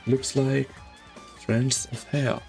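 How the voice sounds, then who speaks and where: A man narrating a game story in a measured, solemn, dramatic scripted style. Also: medium pitch, level tone, vocal fry, darkish tone, soft background music.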